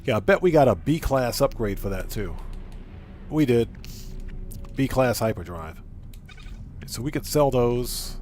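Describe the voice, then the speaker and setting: A man's voice: medium pitch, animated, slow pace, pauses often, darkish tone, slight background noise. A man hosting a casual gaming livestream, thinking aloud, repeating phrases and chatting with viewers. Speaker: livestream host. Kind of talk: casual gaming livestream commentary, greeting chat. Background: music.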